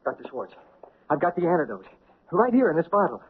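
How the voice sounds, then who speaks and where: A man's voice: on the high side, big pitch swings, fairly quick, husky. An actor playing a nervous husband who stammers and stumbles over his words in a radio drama. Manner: stammering, jittery. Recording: tinny old radio broadcast recording.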